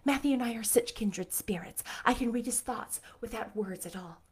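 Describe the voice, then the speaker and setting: A woman's voice: fairly high pitch, moderate pace, slightly rough. A woman doing an eager, chatty young girl. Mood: brisk, confident. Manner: earnest, bookish, bright and chatty. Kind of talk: audiobook character voice.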